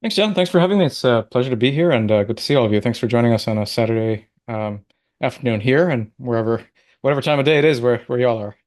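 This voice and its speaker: A man's voice: mid-range voice, quick pace, a little mellow. Thoughtful man on a video call. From Middle East (born in Qatar, raised in Oman). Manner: thoughtful, restarts and rambles while recalling. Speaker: guest, sociology professor. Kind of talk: conversational interview over video call.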